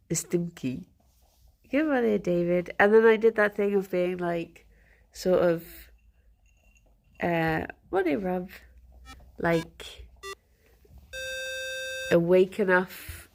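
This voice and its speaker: Woman streamer, congested nose, relaxed slow delivery, some vocal fry; casual and giggly. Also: medium pitch. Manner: chatty, greets viewers by name, giggly, soft baby talk to pets. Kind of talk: casual morning stream chat.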